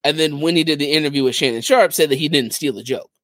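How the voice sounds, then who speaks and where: A man's voice: a little high, quick pace, fairly bright tone, no room sound. A man co-hosting a podcast, casually retelling stories and acting out what people said. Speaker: podcast co-host.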